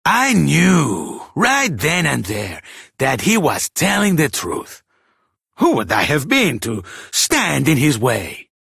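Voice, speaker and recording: Flamboyant, gravelly fantasy king. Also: fairly high pitch, huge pitch swings, takes it slowly, fairly bright tone, no echo, studio quality, exaggerated voice. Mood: solemn, stately. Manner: pompous, florid, dramatic delivery. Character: grand, theatrical, generous king rewarding a hero. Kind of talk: scripted fantasy game voice acting.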